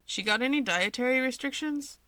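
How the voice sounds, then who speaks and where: Woman as sly middle brother in storybook fiction, young, practical, teasing, bright tone, no room sound. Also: sits high, fairly quick, dry recording, voice pushed up.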